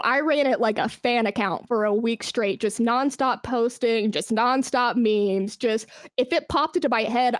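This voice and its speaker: Woman podcast guest, kinda high voice, dry close sound, candid n slangy storytelling. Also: no echo. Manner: candid, animated storytelling with slangy asides and acted-out quotes. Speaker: esports brand manager, podcast guest. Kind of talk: casual interview, telling work stories.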